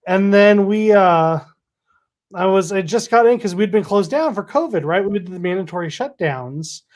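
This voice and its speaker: A man's voice: sits high, animated, normal speed, darkish tone. Easygoing man hosting. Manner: easygoing, teasing. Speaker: host. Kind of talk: casual chat.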